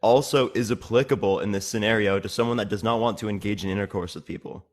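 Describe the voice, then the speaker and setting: A man's voice: lowish voice, quick pace, a little hiss or hum. A man hosting a debate, questioning methodically and pressing his point with repeated tag questions. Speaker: debate host.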